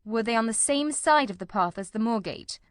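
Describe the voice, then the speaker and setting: A woman's voice: fairly high pitch, expressive, a little fast, smooth, no echo, studio quality, lightly acted. A woman voicing a keen, probing male detective in an audiobook, with a formal, incisive and inquisitive manner. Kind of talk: audiobook character reading.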